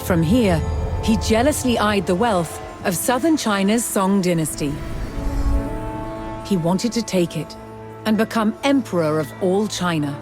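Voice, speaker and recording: A woman delivering scripted historical documentary narration in a measured, dramatic storytelling manner with deliberate pauses. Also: mid-range voice. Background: background music.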